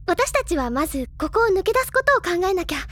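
A woman voicing an excitable, bookish teenage girl in a Japanese audio drama, with expressive, theatrical, feminine phrasing. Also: very high voice, fast, heavily acted. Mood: brisk, assertive. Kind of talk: Japanese audio drama acting, anime style. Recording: studio drama with music behind. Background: background music.

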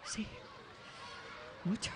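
A woman playing a worried mother in a radio drama, with a Castilian accent and a halting, hesitant delivery. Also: background noise. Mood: polite, probing. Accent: Castilian Spanish. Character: worried, hesitant mother seeking help for her daughter. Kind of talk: Spanish radio drama acting.

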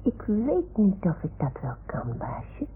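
A woman's voice: lowish voice, wildly swooping pitch, slow pace, slight background noise, exaggerated voice. A woman voicing a naive, chatty toy dog in a radio play, with a childlike, questioning manner that seeks approval. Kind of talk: 1960s-70s Dutch radio drama acting. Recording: muffled, phone-like old radio recording.

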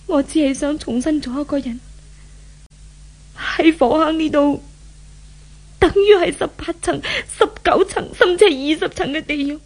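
A woman's voice: high-pitched, measured pace, pauses often. A woman with a Hong Kong Cantonese accent playing a timid, repentant young woman, earnest and theatrical in a 1970s radio drama. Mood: remorseful, pleading. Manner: earnest, wondering, theatrical phrasing.

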